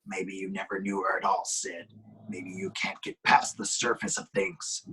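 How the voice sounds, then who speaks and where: A man voicing a bitter, vengeful male killer, brooding and deliberate, in an amateur horror script reading. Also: deep voice, completely flat delivery, pushed and bright, a little hiss or hum, pitched below the performer's own voice, poor audio. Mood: bitter menace.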